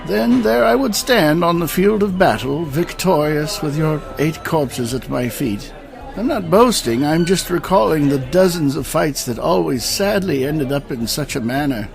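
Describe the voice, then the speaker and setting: An actor playing a weary immortal old knight in a fantasy audio drama, speaking in a formal, stately, archaic manner. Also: male voice, on the high side, expressive, measured pace, vocal fry, faint music behind, a faint crowd. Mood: solemn regret.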